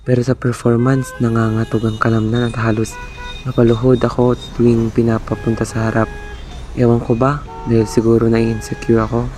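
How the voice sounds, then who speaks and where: A young man playing a shy, insecure student who speaks softly and stammers, narrating his own story in a student radio drama. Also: mid-range voice, completely flat delivery, normal speed, faint music behind, close to an everyday voice. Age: young adult. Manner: soft-spoken, self-doubting, stammers. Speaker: narrator and lead character.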